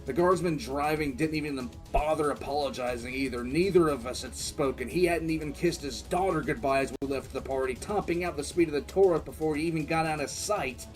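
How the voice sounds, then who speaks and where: A man's voice: fairly high pitch, a little fast, few pauses, lightly acted. A man playing a tense, frustrated military commissar telling his war story as dramatic first-person narration in an audio drama. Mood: grim, frustrated. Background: background music.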